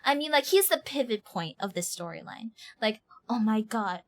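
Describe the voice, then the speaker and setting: A woman streaming a game, giving casual live reaction commentary in an animated, exaggerating manner. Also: fairly high pitch, normal speed. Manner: animated, mimics lines with exaggeration.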